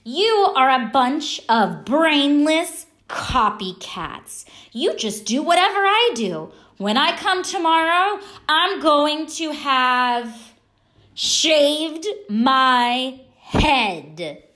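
Woman acting a stubborn, high-pitched little schoolgirl. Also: big pitch swings, drawn-out words, bright, forceful tone, full character voice. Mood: angry, loud. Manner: emphatic, defiant.

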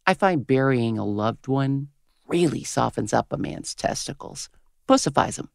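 A woman in her fifties playing a crude, cynical radio host with a smoker's gravelly voice in a comedy audio drama. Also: a bass voice, animated, normal speed, a little mellow, no echo, clean studio sound, heavily acted. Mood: brash, cynical. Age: 50s. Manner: brash, foul-mouthed, switching to syrupy on air. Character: crude, cynical, hard-bitten 57-year-old female radio host.

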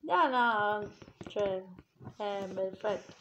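A woman's voice: mid-range voice, expressive, very slow, fairly bright tone, lo-fi sound. A young adult woman, a mother chatting casually while playing a guessing game with her child. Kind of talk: casual chat. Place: indoors at a table, small room. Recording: clearly noisy home recording.

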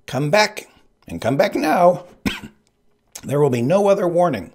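A man's voice: fairly high pitch, sing-song, measured pace, a little mellow, husky, pitched above the performer's own voice, heavily acted. A man voicing a conceited male kidnapper who makes boastful, menacing threats in a storybook. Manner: shrieking, gloating threats.

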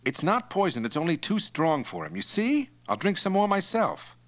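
A man's voice: high voice, sing-song, normal speed, creaky, voice pushed up, heavily acted. A man playing a wary, stubborn captive patrolman in an audiobook, with a dry, guarded, needling delivery. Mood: wry. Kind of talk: acted dialogue in audiobook narration.

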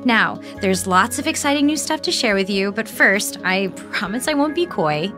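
A woman's voice: mid-range voice, lively intonation, moderate pace, music in the background, natural voice. A woman with an American accent playing an eager, teasing podcast host narrating a documentary mystery. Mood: eager, intriguing.

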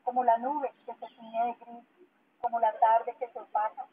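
A woman's voice: fairly high pitch, flat delivery, normal speed, breathy edge. A woman declaiming poetry and announcing at a public reading in an outdoor park. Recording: tinny, band-limited megaphone sound outdoors.

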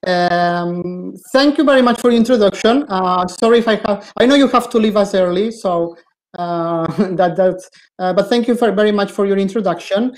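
Hesitant middle-aged Italian host. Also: male voice, high-pitched, moderate pace. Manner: hesitant, polite, with restarts. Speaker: webinar host. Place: home with stairs, indoors. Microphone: video call.

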